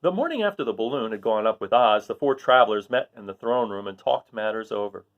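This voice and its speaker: A man reading a children's storybook aloud as narrator, in an expressive storytelling style. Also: fairly low pitch, fairly quick, narrow, tinny audio. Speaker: storybook reader.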